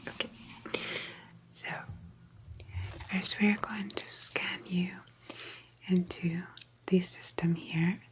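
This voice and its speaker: A woman playing a meticulous, prim sci-fi office agent in an ASMR roleplay, whispering breathily in an unhurried, hesitant, officious but polite manner. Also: on the low side, steady tone, rough recording. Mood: calm, methodical. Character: slow, meticulous, prim female time-travel office agent.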